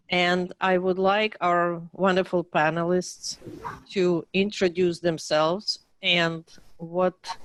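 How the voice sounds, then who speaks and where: A woman hosting and moderating an online panel discussion, speaking in a measured way with occasional fillers. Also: lowish voice, no room sound. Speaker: host and panel facilitator.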